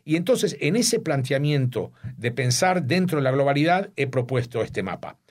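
An older man speaks in a reflective interview, weighing his words and often restarting sentences. His voice sits at a middle pitch. He comes across as an author explaining his ideas at length. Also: big pitch swings, normal speed, darkish tone. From Uruguay. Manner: thoughtful, searching for words, frequent restarts.

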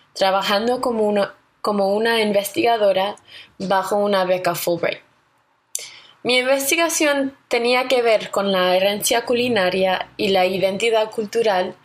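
A young woman from Connecticut with an American accent, hosting a radio series in a careful, measured, scripted way with small self-corrections. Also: medium pitch. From Connecticut, United States.

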